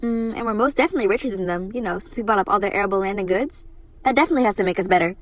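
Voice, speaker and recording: A woman's voice: mid-range voice, very fast, lightly acted. A woman voicing a smug, gleefully cruel colonizer puppet with theatrical delivery in an amateur puppet show.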